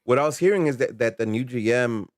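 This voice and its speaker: A man's voice: mid-range voice, fast, dry recording, studio quality. An American man in casual sports banter, teasing in slang and repeating himself for emphasis. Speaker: sports show co-host. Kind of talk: casual fan banter about soccer.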